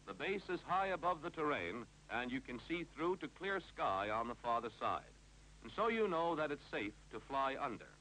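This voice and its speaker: American guy doing old 1950s training film narration, crisp bright voice, up-and-down intonation, clipped and instructional, bit of film hiss and hum. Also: medium pitch, big pitch swings, moderate pace, rough recording. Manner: clipped, authoritative, instructional delivery.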